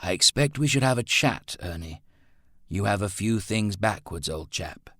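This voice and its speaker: A man voicing a stern, gentlemanly father in an audiobook, patient but easily flustered, with clipped old-fashioned phrasing. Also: lowish voice, lively intonation, moderate pace, no echo, clean studio sound, pitched above the performer's own voice. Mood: grave, gentle.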